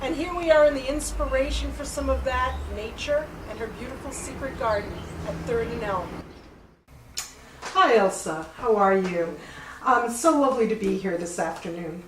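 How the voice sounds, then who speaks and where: A woman's voice: a little high, normal speed. A woman hosting an arts interview in a studio, speaking to her guest and audience in a gracious, presenter-like way. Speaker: host, interviewer. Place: artist's studio workshop. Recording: echoey, distant, clearly noisy workshop recording.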